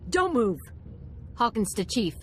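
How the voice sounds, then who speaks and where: An actress playing a bitter, vengeful fighter in a video game, hard and accusing. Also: female voice, on the high side, very animated, a little slow, a little hiss or hum. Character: bitter, vengeful female fighter confronting her target.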